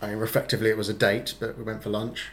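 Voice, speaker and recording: A man's voice: on the low side, level tone, very fast, a little hiss or hum. A man co-hosting a casual podcast chat, telling stories in a rambling, self-deprecating way with many fillers. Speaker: podcast co-host.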